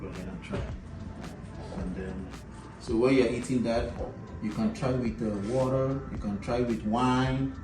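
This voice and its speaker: A man's voice: mid-range voice, steady tone, measured pace. A man hosting a cooking show, chatting casually as he serves food and interviews his guest. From Africa. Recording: echoey, distant mic, loud music behind.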